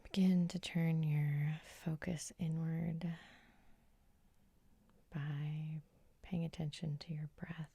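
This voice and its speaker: Woman, whispered ASMR hypnosis, low and flat, gentle, soothing, breathy, close-miked. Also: slow pace, long pauses, no echo. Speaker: hypnotist and host.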